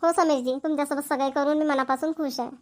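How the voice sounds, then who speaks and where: A woman's voice: high voice, fairly even intonation, quick pace, natural voice. A woman voicing a polite, devoted young bride-to-be in a family cartoon, speaking deferentially. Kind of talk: cartoon family drama voice acting.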